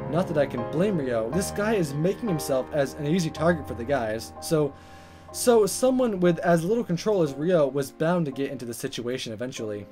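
A man reading visual novel text aloud as a let's player, in expressive narration. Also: on the high side, brisk. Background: game music.

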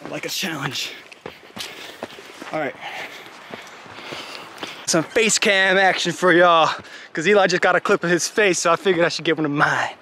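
A young man with a slightly high voice rambles and jokes as he hikes through the woods, sounding out of breath. He films himself at arm's length, and outdoor noise is clearly heard behind him. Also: normal speed, long pauses, bright, forceful tone. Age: young adult. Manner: chatty, joking, rambling with fillers. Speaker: vlogger. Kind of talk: casual vlog while hiking. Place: wooded trail outdoors. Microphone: handheld camera at arm's length.

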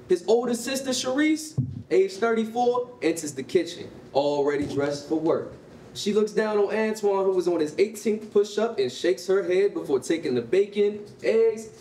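A man's voice: high-pitched, mellow. A young man giving scripted storybook narration at a live staged reading. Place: indoor rehearsal hall, standing at music stand. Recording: echoey hall, distant mic, faint noise.

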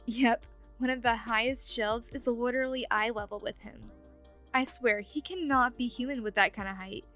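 A woman's voice: a little high, steady tone, normal speed, fairly bright tone, soft background music, close to an everyday voice. A woman voicing a playful, teasing young ghost roommate in an audio roleplay, chatty and meddling. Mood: teasing, friendly. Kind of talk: ASMR audio roleplay acting.

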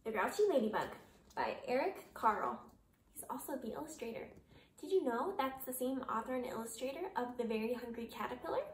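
A young woman reading a children's story aloud expressively, pausing to put questions to her young listeners. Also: fairly high pitch, a little hiss or hum. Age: young adult. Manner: animated, questioning, addressing children. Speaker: kindergarten read-aloud reader. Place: small living room, indoors. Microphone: camera a short distance away. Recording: roomy home recording.

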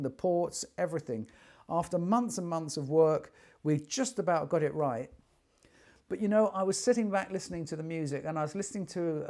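A man's voice: fairly high pitch, gravelly, darkish tone. A man in his sixties giving an informal technical explanation in an emphatic, storytelling manner. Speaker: loudspeaker designer explaining his craft. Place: small furnished sitting room indoors.

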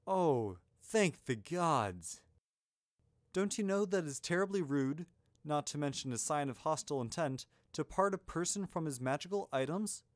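A man voicing a young adventuring wizard in a fantasy audiobook, earnest, excitable and blithely matter-of-fact. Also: fairly high pitch, fairly quick, no room sound, clean studio sound, voice pushed up, close to an everyday voice. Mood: flustered, indignant.

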